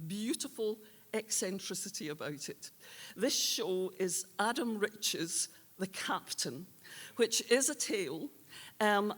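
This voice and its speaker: Woman presenting awards in a reverberant hall. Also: mid-range voice. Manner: hesitant, searching for words. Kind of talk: unscripted speech introducing award winners.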